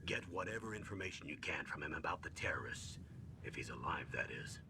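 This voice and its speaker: An actor playing a stern, steady older military commander in a video game, with a measured, authoritative delivery. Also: male voice. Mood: grave. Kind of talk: scripted video game voice acting. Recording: clearly noisy game audio with low rumble.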